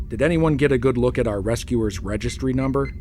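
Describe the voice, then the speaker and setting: A man playing a brisk, wry starship captain in a science fiction audio drama. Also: mid-range voice, dark tone, a little hiss or hum, lightly acted. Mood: wry, puzzled.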